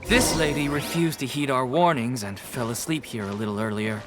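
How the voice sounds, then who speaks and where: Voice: mid-range voice, steady tone, normal speed, close to an everyday voice. An actor playing a flustered, apologetic, dutiful attendant in a video game, hesitant and deferential. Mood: troubled. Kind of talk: video game cutscene acting. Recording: faint music behind the voice.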